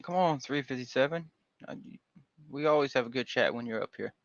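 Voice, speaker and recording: A man's voice: medium pitch, brisk, dry recording. Georgia guy hosting a stream panel, rambly and folksy, dull lo-fi dry audio. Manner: rambling, folksy, with frequent fillers. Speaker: livestream panel host. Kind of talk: casual livestream chat.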